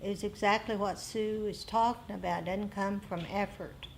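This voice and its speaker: A woman giving an unscripted dharma talk as a meditation teacher, with deliberate, emphatic phrasing and some repetition. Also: medium pitch, fairly even intonation. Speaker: meditation teacher leading group discussion. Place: group meditation meeting room. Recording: clear background room noise.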